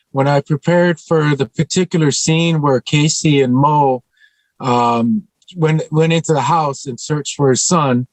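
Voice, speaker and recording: A man speaking earnestly on a panel as an actor, thoughtful and halting, often repeating a phrase as he goes. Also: a little high, dry recording, poor audio.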